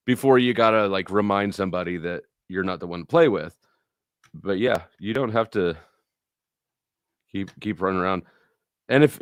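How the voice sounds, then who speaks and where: A man's voice: lowish voice, dry recording, studio quality. A middle-aged man hosting a livestream, chatting casually with viewers in a rambling, blunt way with some swearing. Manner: rambling, blunt, profane, anecdotal. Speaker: livestream host.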